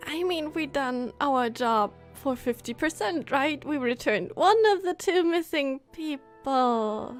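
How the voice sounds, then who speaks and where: A woman's voice: high-pitched, animated, slow pace, few pauses, faint music behind. A woman game streamer giving casual live commentary, musing and reacting aloud as she plays.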